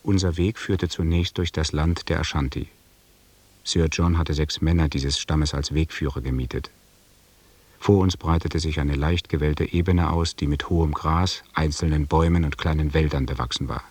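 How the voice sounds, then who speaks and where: An actor playing a correct, reserved lieutenant in a German radio drama, talking in a formal, measured way with a husky voice. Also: male voice, a bass voice, fairly even intonation, darkish tone, no room sound. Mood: reserved, sober.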